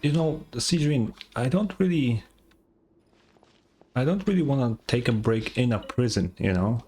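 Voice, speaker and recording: A woman live streamer giving casual game reactions in a dry, tired-sounding, low-key manner. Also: measured pace, dark tone, a little hiss or hum. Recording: game music behind the voice.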